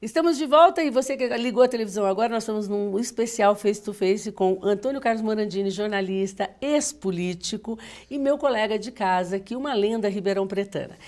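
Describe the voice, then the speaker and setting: Nasal, fast-talking Brazilian woman host. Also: medium pitch, darkish tone. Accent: Brazilian Portuguese. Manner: fast, chatty, emphatic. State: congested with a cold, nasal. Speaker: TV talk show host. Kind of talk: live TV interview and read-in ad.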